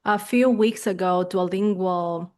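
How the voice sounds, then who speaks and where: A woman whose first language is Chinese, hosting an interview in a quick, friendly way with frequent agreeing murmurs. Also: mid-range voice, a little mellow. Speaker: podcast host.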